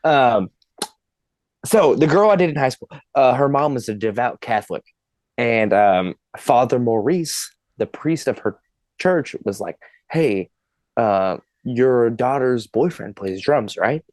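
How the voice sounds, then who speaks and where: A young man in his twenties tells a story on a podcast with loose, laughing energy and the odd curse word. His voice sits in an ordinary middle pitch. The recording is dry and close, with no room sound. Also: measured pace, no echo. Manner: animated, laughing, swearing storyteller. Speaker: podcast guest, drummer. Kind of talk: casual storytelling chat.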